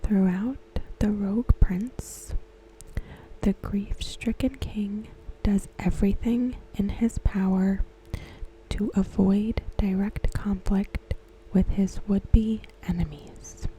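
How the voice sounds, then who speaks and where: A woman softly whispering a scripted recap in ASMR style, close to the microphone, with gentle, deliberate phrasing. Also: medium pitch, fairly even intonation, slight background noise, low-quality recording. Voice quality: soft, breathy, mostly whispered. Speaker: ASMR host. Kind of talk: ASMR, softly reading a scripted episode synopsis.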